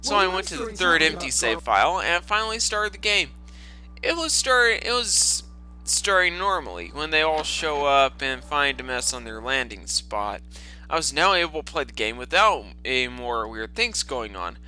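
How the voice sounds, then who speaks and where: A man's voice: on the high side, animated, normal speed, bright, forceful tone, slight background noise. A man doing a dramatic storytime reading, breaking into sarcastic, profane and exclamatory mocking asides. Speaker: storytime narrator. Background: video game music.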